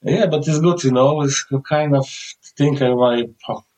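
A man's voice: mid-range voice, normal speed, a little mellow, rough recording. A man from Poland with a Polish accent, a cheerful interviewed parent who laughs while he talks.

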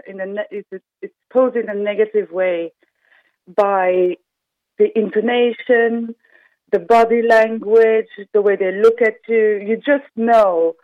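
A woman calling in to a radio show, speaking in a measured, thoughtful way. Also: medium pitch, no echo. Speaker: caller. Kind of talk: radio phone-in discussion. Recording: tinny phone line.

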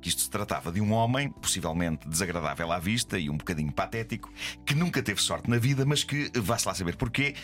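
A man with a deep voice and a European Portuguese accent hosts a comedic radio segment. His delivery is wry and mock-serious, moving between scripted lines and banter. Music plays behind him. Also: animated, fast, fairly bright tone. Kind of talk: humorous semi-scripted commentary over music.

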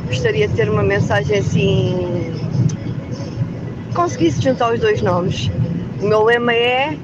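A woman's voice: mid-range voice, measured pace. A woman leaving a friendly personal voice message for a radio show. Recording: dull phone message, loud vehicle noise.

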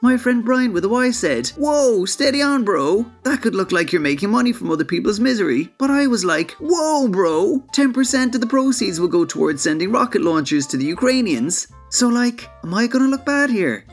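A man's voice: sits high, sing-song, normal speed, few pauses, exaggerated voice. A young hipster dude, light-voiced, smug and self-satisfied. He talks in drawling slang over faint music, as in a comedy sketch. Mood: smug, earnest. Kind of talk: comic scripted monologue.

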